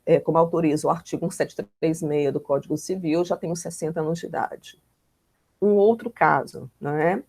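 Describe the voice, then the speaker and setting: A Brazilian woman lectures in a didactic but conversational manner, with frequent tag questions. Her voice is mellow and dark, with a gravelly creak running through it. The sound is close and dry. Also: deep voice, no room sound. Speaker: law lecturer. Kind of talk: informal online lecture explaining with examples.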